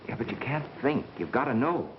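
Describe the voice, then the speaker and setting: An American actor playing a brisk, bossy amateur sleuth with a wry edge, in 1930s film style. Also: male voice, mid-range voice, very animated, natural voice. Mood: probing, wry. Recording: dull, noisy vintage film soundtrack.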